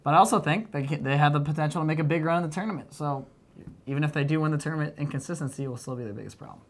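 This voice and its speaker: A man's voice: on the high side, rapid-fire. A young man giving casual sports commentary and banter as a panel analyst. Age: young adult.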